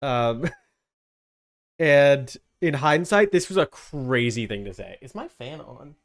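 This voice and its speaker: A man's voice: mid-range voice, expressive, slow pace. A young man giving casual reaction commentary as a streamer, informal and exclaiming as he thinks aloud.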